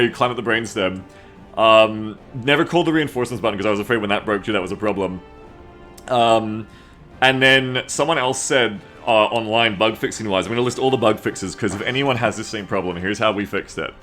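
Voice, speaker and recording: A man chatting casually to viewers as a game streamer, rambling, excitable and swearing freely. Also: mid-range voice, brisk. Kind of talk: casual livestream chat to viewers. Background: music.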